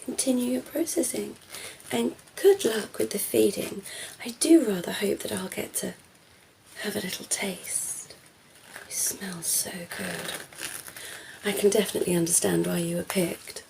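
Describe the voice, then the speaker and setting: A woman playing a cheeky, flirtatious female vampire in a soft, teasing ASMR roleplay, often slipping into whispers. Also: mid-range voice, unhurried, creaky, noisy background. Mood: flirtatious, hungry.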